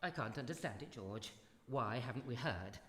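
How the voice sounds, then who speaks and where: An actor playing a brisk, bossy, proper older lady with emphatic delivery in a live radio drama. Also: mid-range voice, expressive, fairly bright tone, natural voice. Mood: anxious. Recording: echoey hall, faint noise, live stage.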